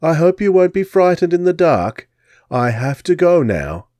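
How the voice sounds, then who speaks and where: A man voicing a tall, well-dressed Englishwoman with an English accent, a soft-hearted accomplice. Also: fairly high pitch, sing-song, unhurried, no echo, voice pushed up. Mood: regretful.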